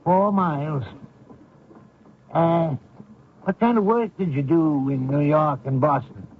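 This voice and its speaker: An actor playing a blunt, folksy small-town man who probes strangers, husky, in old-time radio drama style. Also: fairly high pitch, takes it slowly, phone-quality sound, a little hiss or hum. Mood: suspicious, prying. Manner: folksy, probing, plain-spoken.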